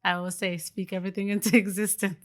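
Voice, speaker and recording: A woman's voice: mid-range voice, level tone, fast. A young woman talking as a podcast guest in a casual, earnest way, full of fillers and easy laughter. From Britain. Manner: chatty and earnest, many fillers like "like" and "you know"; laughs easily. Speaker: guest, early childhood educator.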